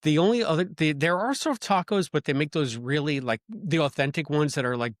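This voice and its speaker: A man's voice: on the high side, a little fast, no echo, studio quality. A man co-hosting a podcast in casual chat, rambling and playful, laughing often. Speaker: podcast co-host.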